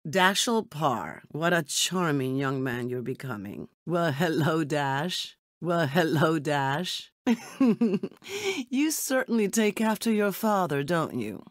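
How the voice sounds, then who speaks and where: An actress playing a poised, elegant secret agent in a video game, speaking with formal, composed politeness. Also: female voice, deep voice, lively intonation, slow, no echo, clean studio sound. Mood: gracious, pleased. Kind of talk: scripted video game character dialogue.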